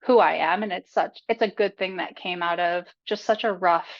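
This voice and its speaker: A woman's voice: lowish voice, moderate pace. A young adult woman speaking as a podcast guest in a candid, casual way, full of fillers and frank about her life. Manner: chatty, filler-heavy, frank and profane. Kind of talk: candid casual interview talk. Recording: dull lo-fi audio.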